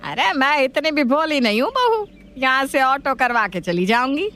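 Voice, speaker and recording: A woman playing a doting, gullible grandmother with a homely, indulgent manner in an animated family story. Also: high-pitched, moderate pace, heavily acted. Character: kindly, gullible, doting grandmother and mother-in-law.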